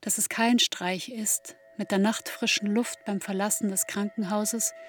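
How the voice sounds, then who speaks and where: A woman acting as an intimate, grieving narrator in a literary radio play, speaking in a slow, measured way to a bereaved mother. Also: medium pitch, steady tone, soft background music, close to an everyday voice. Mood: quiet grief. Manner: slow, measured, intimate second-person delivery.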